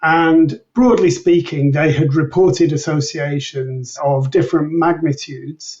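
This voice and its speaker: A man's voice: a little high, darkish tone, distant mic, lo-fi sound. A man speaking as a research expert in an interview, explaining things in a formal, precise and structured way. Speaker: research scientist, interview guest.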